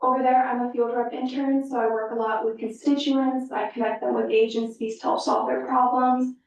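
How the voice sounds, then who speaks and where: A woman's voice: a little high, flat delivery, normal speed. A young woman from San Diego, a student candidate answering an interview with proud enthusiasm. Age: young adult. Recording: echoey room, distant mic.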